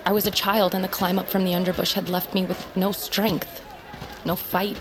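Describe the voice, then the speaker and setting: A woman's voice: fairly low pitch, flat delivery, moderate pace, lightly acted. A woman playing a feisty, proud young bird-folk outcast in a dramatic audio fiction, defiant and impassioned, with noisy ambience around her. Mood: wistful, admiring. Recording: noisy outdoor ambience behind voice.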